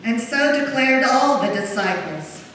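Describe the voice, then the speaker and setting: A woman with a slightly high voice gives solemn, measured scripture narration. She is heard at a distance in a very echoey church, with clear background noise. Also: lively intonation, fairly bright tone. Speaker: narrator of a Passion Gospel reading. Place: large church during a service.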